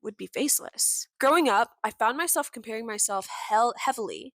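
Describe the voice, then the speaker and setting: A young woman hosting her own podcast, with a warm voice that is deeper than most women's, talking candidly and with passion, full of "like" fillers and the odd swear word. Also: expressive, fairly quick, no room sound. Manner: candid and impassioned, with frequent "like" fillers and mild swearing. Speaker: podcast host. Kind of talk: casual personal monologue.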